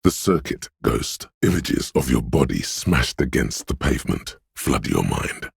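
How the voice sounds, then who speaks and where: A man playing a detective's ominous, dreamy intuition in a video game, speaking in a hushed, portentous, theatrical way with dramatic pauses and a gravelly, husky voice. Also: very deep voice, sing-song, dry recording, clean studio sound, exaggerated voice. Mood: brooding, mysterious. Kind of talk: video game voice acting, second-person inner monologue.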